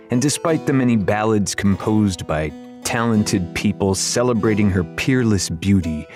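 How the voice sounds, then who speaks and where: A male audiobook narrator reads fantasy fiction in a low voice. His delivery is scripted storytelling, with music clearly heard behind it.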